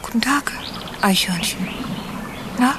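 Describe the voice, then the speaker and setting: A woman playing a sad, lonely grown woman in an audio story, talking softly in a half-whisper. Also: on the high side, animated, slow pace, noisy background. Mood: sad, wistful. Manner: soft, hushed, half-whispered.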